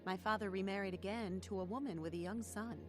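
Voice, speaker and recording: A woman's voice: mid-range voice, fast, close to an everyday voice. Radio drama: adult actress as cynical, lonely teen girl, confessional and plain-spoken, music under the voice. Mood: downcast. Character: troubled, lonely, cynical teenage girl telling her story. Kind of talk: audio drama acting and first-person narration.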